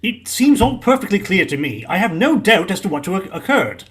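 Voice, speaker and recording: A man's voice: high voice, very animated, a little fast, fairly bright tone. A man acting a cocksure, defensive police inspector in a radio play, eager and fussy. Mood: smugly confident.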